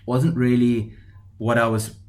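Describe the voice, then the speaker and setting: A man's voice: medium pitch, little pitch movement, a little slow, darkish tone. A man in his thirties from South Africa with a South African accent, a startup founder talking through his career as a podcast guest, with frequent ums and restarts. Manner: hesitant, many ums and restarts. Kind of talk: conversational podcast interview.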